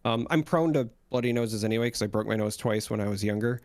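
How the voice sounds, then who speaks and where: A man's voice: on the low side, fast talker, darkish tone, no echo. Wry, stuffy-nosed podcast co-host man. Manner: conversational, storytelling, wry. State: stuffy from head congestion. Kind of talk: casual chat.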